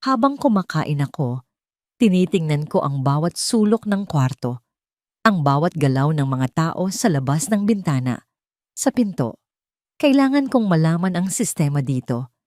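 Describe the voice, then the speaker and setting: A woman with a Filipino accent narrating a dramatic first-person story in an expressive, scripted storytelling manner. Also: deep voice, normal speed, no echo, clean studio sound.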